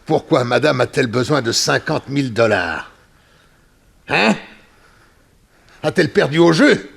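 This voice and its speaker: A desperate, jealous husband in an old radio serial. He is menacing and theatrical, with a forceful, creaky voice that swings widely in pitch. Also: expressive, a little slow, exaggerated voice. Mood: accusing, threatening. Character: jealous, desperate, violent-tempered wealthy husband. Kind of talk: 1960s French radio drama acting.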